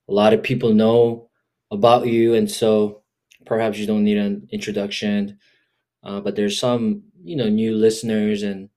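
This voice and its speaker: A man hosting a podcast and chatting with a guest. His voice sits low and his pitch stays level. He is friendly and often hesitates with "uh.". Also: a little mellow. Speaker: podcast host. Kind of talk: casual conversational interview.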